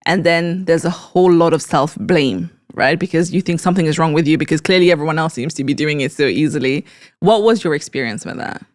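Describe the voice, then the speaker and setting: This is a woman with a voice a little lower than most. She speaks as a podcast host in a conversational interview. Her delivery is earnest and effusive. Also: a little fast.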